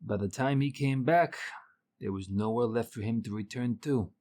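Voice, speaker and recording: A male voice playing a calm, cryptic keeper of an otherworldly store, patient and terse, with a husky tone. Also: medium pitch, moderate pace, no echo, studio quality, natural voice. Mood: resigned, calm.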